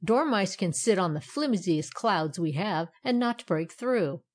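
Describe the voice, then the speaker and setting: A woman's voice: on the low side, animated, a little slow. A woman voicing a cheerful, smug male fireplace poker in a children's storybook, chatty and boastful, breaking into sing-song verse. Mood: jovial, chatty.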